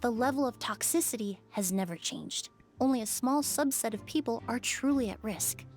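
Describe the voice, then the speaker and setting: A woman voicing a high-voiced young investigator. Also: lively intonation, darkish tone, soft background music, close to an everyday voice. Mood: explaining. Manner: measured, laying out a deduction step by step. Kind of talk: video game voice acting.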